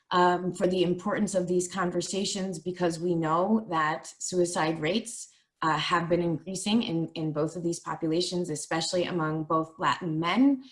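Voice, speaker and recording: Lower-voiced woman moderating a webinar. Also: poor audio. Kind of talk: introducing speakers. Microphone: video call.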